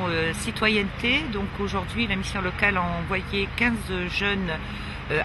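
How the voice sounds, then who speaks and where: A man explaining an outing in an informal on-location interview as a youth agency staff member, recorded amid outdoor traffic noise. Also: sits high.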